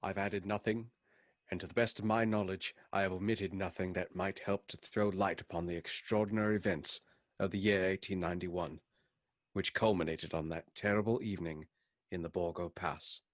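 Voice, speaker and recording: A man playing a grave gentleman doctor in a radio play, speaking formally and solemnly. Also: sits low, steady tone, moderate pace, no echo, studio quality, natural voice.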